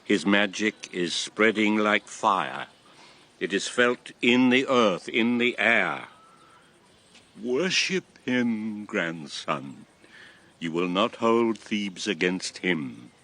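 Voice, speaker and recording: A man's voice: fairly low pitch, lively intonation, slow, pushed and bright, heavily acted. An actor playing an old former king and grandfather, a solemn, impassioned convert to a god, in a classical radio drama. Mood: solemn urging. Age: old, past retirement. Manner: solemn, impassioned, theatrical delivery.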